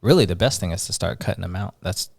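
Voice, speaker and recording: A man in his thirties co-hosting a casual chat podcast, easygoing and quick to laugh. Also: deep voice, vocal fry, dry recording, studio quality. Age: 30s. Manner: laughs often, easygoing, fillers like "man" and "bro". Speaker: podcast co-host.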